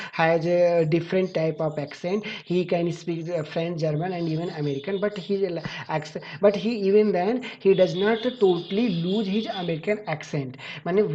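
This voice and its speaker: Low, dark-voiced Indian woman teacher. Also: lo-fi sound. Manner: halting, repetitive, rephrasing each point. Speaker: English literature teacher. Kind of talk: explanatory lesson on a short story.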